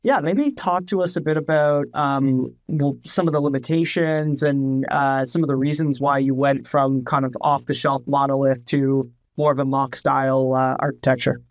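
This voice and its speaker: A man's voice: mid-range voice, fairly even intonation, normal speed, hardly any pauses, dry recording. A young man hosting a podcast interview over a video call, asking questions in an upbeat, friendly way. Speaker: podcast host.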